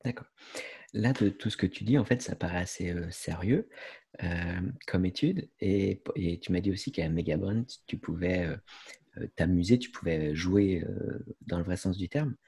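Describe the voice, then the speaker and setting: A man's voice: deep voice, moderate pace, dark tone, slightly rough. A man interviewing a guest, asking long, hesitant, rambling questions. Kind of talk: conversational interview.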